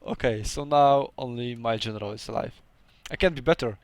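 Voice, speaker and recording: A man's voice: mid-range voice, fairly quick, no room sound. A young man with a Polish accent casually commentating his own strategy game match, chatty and laughing often, with hesitant second-language phrasing.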